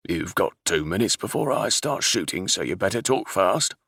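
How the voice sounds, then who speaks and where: Give me a man playing a wary, growling older captain, very deep and dry. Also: animated, moderate pace, fairly bright tone, no room sound, exaggerated voice. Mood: gruff. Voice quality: gruff, growling. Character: older gruff male ship captain, wary.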